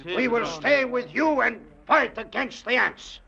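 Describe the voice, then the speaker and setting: An actor playing a humble, loyal plantation foreman who speaks haltingly and earnestly in old radio-drama style. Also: very high-pitched, expressive, creaky, music in the background, full character voice. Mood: anxious, loyal. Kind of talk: 1940s-50s radio drama acting. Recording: muffled vintage radio recording.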